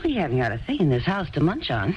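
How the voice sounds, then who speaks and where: An actress in her thirties playing a gentle, dreamy woman poet who lives in a commune, in a 1970s radio drama, speaking softly and coaxingly. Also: low-pitched, wildly swooping pitch, fast, muffled recording. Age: 30s. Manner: soft, coaxing and warmly insistent.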